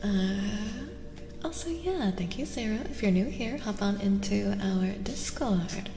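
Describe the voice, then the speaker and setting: Woman, young adult, soft-spoken ASMR streamer, unhurried pace, echoing reverb over music. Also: mid-range voice, lively intonation. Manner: soft-spoken, often dropping to a whisper. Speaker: streamer, tarot reader. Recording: reverberant echo with music behind.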